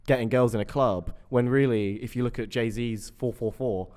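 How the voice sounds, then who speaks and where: A man chatting casually as a guest, relaxed and rambling with frequent restarts. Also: mid-range voice, normal speed, smooth. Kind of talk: casual chat.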